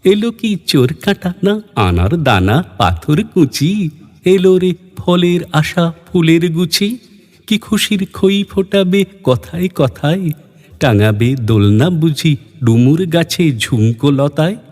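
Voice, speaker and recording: A man's voice: a little high, lively intonation, a little slow, a little mellow. Male reciter, for dramatic verse. Declamatory and rhythmic, set in a long echoing reverb. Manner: rhythmic, declamatory, with rising refrains. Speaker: poetry reciter. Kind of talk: dramatic Bengali poetry recitation.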